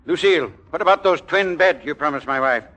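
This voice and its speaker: An actor playing a stern, pompous, blustering army colonel in a 1940s radio comedy. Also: male voice, a little high, expressive, brisk, fairly bright tone. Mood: brisk, commanding. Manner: commanding, indignant, pompous.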